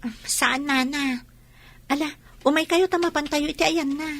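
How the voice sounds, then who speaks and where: Woman playing a very high-voiced young maiden. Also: fairly even intonation, normal speed, fairly bright tone, slight background noise. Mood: welcoming. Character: polite, bashful young village woman. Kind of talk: radio comedy drama dialogue.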